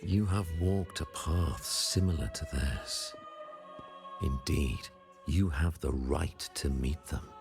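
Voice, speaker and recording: A solemn, pompous high priest from a video game. He speaks slowly and formally, with measured reverence, in a very deep gravelly register. Faint music plays behind him. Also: wildly swooping pitch, heavily acted. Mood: solemn, assuring. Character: solemn, commanding high priest of a religious order. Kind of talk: video game voice acting, formal ceremonial speech.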